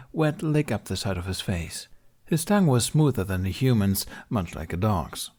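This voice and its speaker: A man's voice: on the low side, darkish tone. A man reading scripted fiction as an audiobook narrator.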